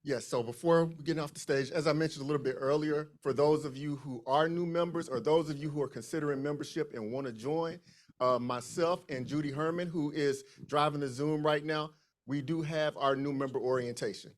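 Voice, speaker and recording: A man's voice: on the high side, fairly even intonation, brisk. Upbeat man hosting a meeting. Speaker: event host. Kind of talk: announcing at a meeting.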